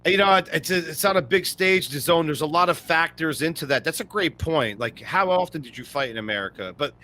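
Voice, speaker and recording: A man co-hosting a casual sports podcast, talking in an animated way and laughing often. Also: on the high side. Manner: animated, laughs often, breaks into quick impressions. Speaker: podcast co-host.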